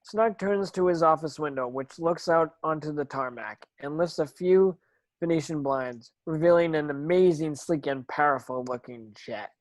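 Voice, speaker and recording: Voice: mid-range voice, moderate pace, no echo. A narrator reading screenplay stage directions in an online table read, now and then stumbling and repeating words.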